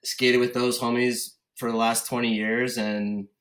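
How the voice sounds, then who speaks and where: A man in his thirties from Connecticut speaks as a podcast guest. His voice sits at a middle pitch, and he tells personal stories in a relaxed, informal chat style. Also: measured pace. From Connecticut, United States. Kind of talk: casual chat, personal storytelling.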